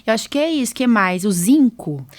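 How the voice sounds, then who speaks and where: A woman's voice: on the high side, lively intonation, brisk. A Brazilian woman hosting a podcast as a doctor, chatting informally and chiming in with agreement. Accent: Brazilian Portuguese. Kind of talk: casual podcast chat.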